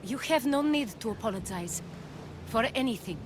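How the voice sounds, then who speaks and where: A woman's voice: fairly high pitch, expressive, normal speed, background noise, lightly acted. Poised ancient noblewoman voiced by an actress. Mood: solemn, reassuring. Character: composed, shrewd noblewoman of ancient Athens. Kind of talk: dramatic video game acting. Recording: dramatic music behind the voice.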